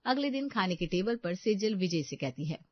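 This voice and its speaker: A woman's voice: mid-range voice, very animated, moderate pace, dry recording, dull audio. A woman giving a scripted narration of a story.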